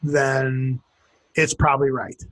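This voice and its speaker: A man's voice: medium pitch, flat delivery, takes it slowly, crowd noise. A man co-hosting a podcast, talking in a joking, rambling, wry way. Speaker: podcast co-host. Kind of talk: casual chat.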